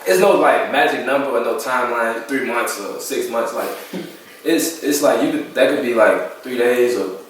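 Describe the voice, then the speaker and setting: A man's voice: medium pitch, normal speed, slight background noise. A twenty-three-year-old man from Chicago, speaking bluntly and laughing easily as a panelist in a casual discussion. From Chicago, USA. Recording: echoey room, distant mic.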